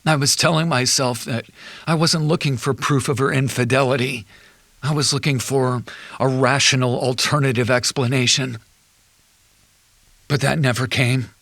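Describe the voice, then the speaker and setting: An actor playing a betrayed husband, an accountant, in a measured, wry and bitter confession for an audio drama. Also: male voice, mid-range voice, steady tone, gravelly, a bit bright, dry recording. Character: betrayed husband, an accountant recounting his wife's affair.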